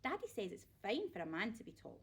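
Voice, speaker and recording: A woman's voice: medium pitch, huge pitch swings, a little fast, a bit bright, husky, full character voice. A woman voicing a polite, put-upon eight-year-old boy, meek and earnest, in a storybook reading. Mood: puzzled.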